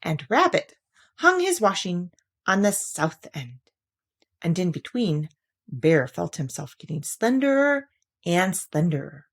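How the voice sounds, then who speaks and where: Slow, expressive woman reading a storybook. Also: fairly low pitch, breathy edge. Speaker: story reader. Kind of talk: expressive read-aloud storybook narration.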